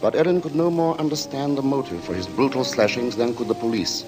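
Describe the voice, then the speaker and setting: An actor playing a measured, ominous narrator of a 1940s-style radio suspense drama. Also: male voice, mid-range voice, close to an everyday voice. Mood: grave, ominous. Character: grave, suspenseful male storyteller of a murder tale. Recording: old radio recording under loud music.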